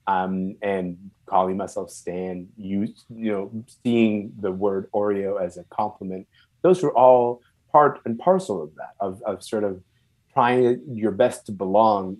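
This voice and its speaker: A man from Sudan who moved to Canada young and is not a native English speaker, speaking reflectively and haltingly as an interview guest, searching for words. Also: on the low side, fairly even intonation, a little mellow, poor audio. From born and raised in Sudan, moved to Canada at twelve. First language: non-English first language.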